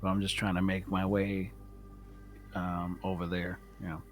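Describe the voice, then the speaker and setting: A man's voice: low voice, completely flat delivery, moderate pace, soft background music. A man playing a tabletop roleplaying game, casually describing his character's moves in the first person.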